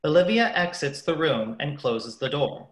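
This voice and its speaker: A woman's voice: sits low, animated, normal speed, background noise. A woman narrator reading out stage directions for a radio play. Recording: roomy, echoing sound.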